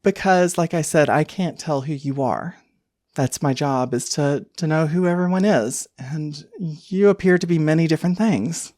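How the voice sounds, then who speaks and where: A woman's voice: low voice, normal speed, warm tone, no echo, natural voice. Gal doing a polite apologetic older lady program for an rpg, sweet but insistent, dry sound. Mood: gently concerned. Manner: courteous, hesitant, endearments like sweetie and dear.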